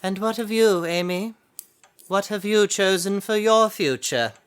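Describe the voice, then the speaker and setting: An actress plays an imperious old woman of means in a period audio drama. She is stern and disapproving, taking her time over every phrase in a smooth, clear voice. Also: mid-range voice, measured pace, close to an everyday voice. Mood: imperious, persuading.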